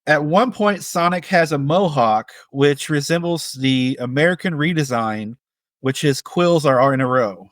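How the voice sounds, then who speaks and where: A man co-hosting a casual film discussion, speaking with measured, tentative phrasing. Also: a little high, dry recording. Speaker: podcast co-host.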